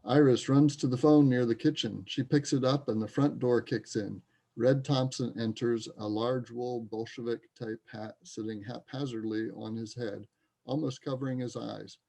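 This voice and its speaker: A man reads stage directions for a play in scripted narration. His voice is mid-pitched and mellow, with a gravelly creak running through it. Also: fairly even intonation, normal speed. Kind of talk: scripted narration in reader's theater.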